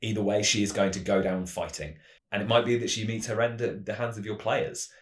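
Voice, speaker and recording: Male tabletop game presenter, low-pitched, measured explanatory storytelling, roomy echoing sound. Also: steady tone. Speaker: tabletop roleplaying game channel host. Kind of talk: semi-scripted explanatory narration.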